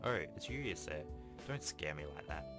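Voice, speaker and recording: A man's voice: extremely low pitch, big pitch swings, normal speed. A man voicing an earnest, defensive teenage schoolboy who stammers and protests as he reads a visual novel. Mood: flustered. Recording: voice over game music.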